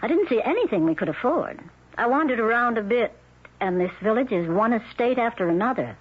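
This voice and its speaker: An actress playing a curious, skeptical but polite wife in an old-time radio drama, with a slightly husky voice. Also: female voice, fairly high pitch, expressive, fairly quick. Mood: admiring. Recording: muffled old radio recording.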